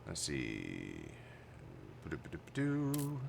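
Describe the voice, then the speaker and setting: Chatty man streaming a game, lively pitch. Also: takes it slowly, gravelly, noisy background. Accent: Canadian-sounding North American. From Texas. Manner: chatty, reads game text aloud, thinks out loud. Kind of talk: casual gameplay commentary. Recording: loud game music behind the voice.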